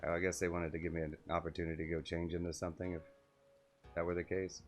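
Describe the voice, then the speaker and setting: A man's voice: low-pitched, completely flat delivery, quick pace, faint music behind. A man streaming a video game, reading its text and commenting casually.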